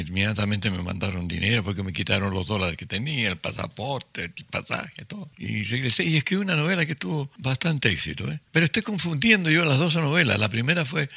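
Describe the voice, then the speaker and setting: An older writer tells stories in an interview with a gravelly, husky voice. His delivery is forceful and tense, and he often restarts sentences and acts out what people said. The recording is dry and close. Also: on the low side, dry recording. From Chile. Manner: vivid storyteller, acts out quotes, frequent restarts. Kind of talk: storytelling in an interview.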